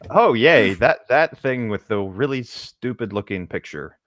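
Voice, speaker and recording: A man's voice: mid-range voice, animated, unhurried, fairly bright tone. A man co-hosting a podcast in casual chat, making wry remarks. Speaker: podcast co-host.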